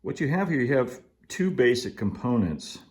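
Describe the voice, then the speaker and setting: A middle-aged man giving a casual, relaxed hands-on tutorial, halting a little as he works in an indoor workshop. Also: medium pitch, roomy sound. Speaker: host of model railroad channel.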